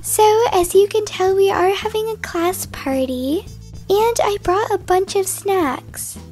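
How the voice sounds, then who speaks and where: A very high, girlish female voice acting as a cheerful schoolteacher in a children's cartoon roleplay. She explains things kindly and slowly to her class. Music plays behind her. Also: warm tone, exaggerated voice. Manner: upbeat, instructive, addressing young children. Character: cheerful, kind woman schoolteacher running a class party. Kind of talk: children's animated roleplay video voice-over.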